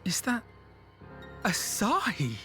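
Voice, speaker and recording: A woman's voice: medium pitch, wildly swooping pitch, slow pace, sharp tone, soft background music, exaggerated voice. A woman playing a wary, questioning heroine in a dramatic video game scene. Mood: wary disbelief.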